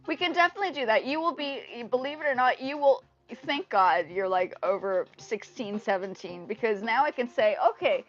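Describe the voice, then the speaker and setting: High-voiced woman livestreaming, native Indonesian speaker. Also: brisk, soft background music. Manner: rambling, repeats phrases, animated asides. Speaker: livestream host. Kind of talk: casual livestream chat with viewers. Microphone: earpiece mic on a phone. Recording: dull lo-fi livestream audio through earpiece mic.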